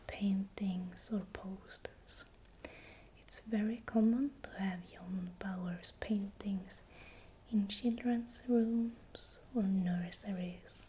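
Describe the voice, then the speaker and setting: A woman narrating in ASMR style in a breathy, unvoiced whisper, speaking softly and deliberately. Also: medium pitch, a little hiss or hum. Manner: slow, deliberate, repeats words softly. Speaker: ASMR creator narrating folklore.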